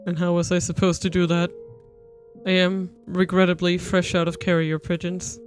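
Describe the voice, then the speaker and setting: Woman reading a sardonic aloof noble, measured, dry, faint music behind. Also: fairly low pitch, little pitch movement, lightly acted.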